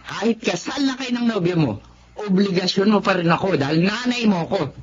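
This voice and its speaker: A woman's voice: mid-range voice, animated, fairly quick, husky. Nagging middle-aged mother, radio drama, swooping delivery, dull lo-fi sound. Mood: demanding. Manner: insistent, reproachful. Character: nagging, money-dependent middle-aged mother of a grown son. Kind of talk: Tagalog radio drama acting.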